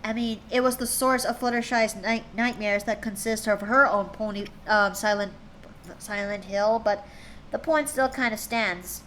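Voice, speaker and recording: A woman's voice: medium pitch, steady tone, normal speed, fairly bright tone, slight background noise. A woman streamer reading fan fiction aloud to her chat, chatty and tripping over words now and then.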